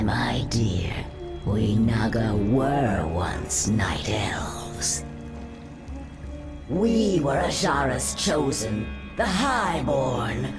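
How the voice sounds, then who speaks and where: Very deep-voiced, haughty sea witch. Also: heavily acted. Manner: condescending, drawn-out, silky menace. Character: haughty, menacing female Naga sea witch, ancient and cursed. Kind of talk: dramatic video game voice acting.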